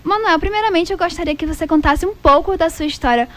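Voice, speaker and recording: Woman, Brazilian Portuguese accent, high voice, radio host, polite formal questions. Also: quick pace. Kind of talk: radio studio interview. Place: radio studio.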